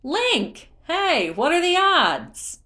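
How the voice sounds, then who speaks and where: A woman's voice: very high-pitched, very animated, extremely slow, sharp tone, pitched above the performer's own voice, full character voice. A woman voicing a cheerful, chatty male travelling merchant with upbeat sales patter in a story reading. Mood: delighted.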